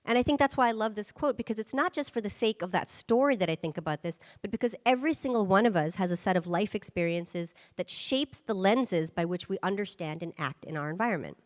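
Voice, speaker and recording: A woman's voice: medium pitch, expressive, fairly quick, hardly any pauses, long reverb tail. A woman giving a conference presentation, speaking emphatically and checking in with rhetorical questions. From United States. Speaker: guest speaker.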